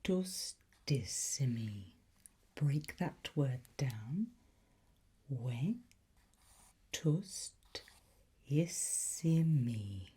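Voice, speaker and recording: Very slow, breathy whispering woman. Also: very deep voice, very animated, mellow. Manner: slow, deliberate, drawn-out enunciation. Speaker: ASMR roleplay host as a teacher of demonic Latin incantations. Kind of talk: whispered ASMR teacher roleplay.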